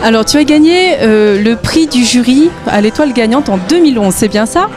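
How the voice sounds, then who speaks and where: Woman, TV interviewer, upbeat, direct, slightly high voice, crowd chatter behind. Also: lively intonation, fairly quick, music in the background. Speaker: local TV interviewer. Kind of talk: interview questions. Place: concert venue.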